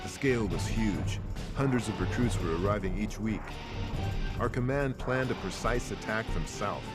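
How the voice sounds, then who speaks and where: An actor playing a sober, grave Marine who recounts his war missions in measured game cutscene narration. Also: lowish voice, close to an everyday voice. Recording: music behind the narration.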